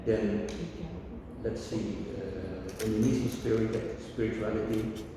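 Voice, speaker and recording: A man's voice: fairly low pitch, level tone, a little slow. A man from the Netherlands, a book collector chatting casually and rambling as he shows his books. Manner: rambling, restarting, showing books. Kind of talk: casual conversation. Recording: echoey room, distant mic, noisy.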